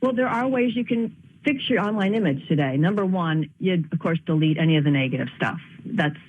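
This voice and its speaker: A woman's voice: lowish voice, animated, a little fast, husky. Earnest woman on a tinny phone line. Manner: earnest, reflective, with frequent restarts. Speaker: guest, speaker and author. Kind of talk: radio interview by phone. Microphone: telephone.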